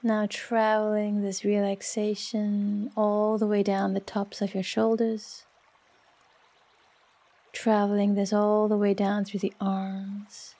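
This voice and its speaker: Slow, mellow woman guiding a relaxation meditation. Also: medium pitch, vocal fry. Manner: soft, soothing, unhurried with long pauses. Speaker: yoga nidra meditation guide.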